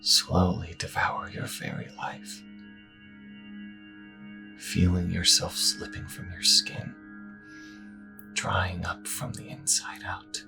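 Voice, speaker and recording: A man voicing an ominous, solemn storyteller, speaking in a slow, breathy half-whisper as dramatic narration. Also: low-pitched, completely flat delivery, music in the background, heavily acted. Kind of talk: scripted second-person dramatic narration.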